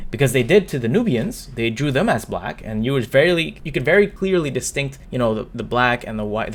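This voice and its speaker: A young man speaks straight to his camera in a mid-pitched, everyday voice. He sounds upset but earnest, and he stumbles and restarts his sentences as he works through his point. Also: fairly bright tone. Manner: earnest, stumbling, restarts and trips over words. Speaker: YouTuber. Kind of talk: talking-to-camera opinion vlog.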